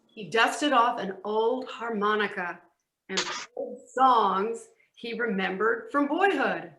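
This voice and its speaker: A woman's voice: on the high side, slow, background noise. A woman narrating a children's story for a readers theatre performance in an echoey room.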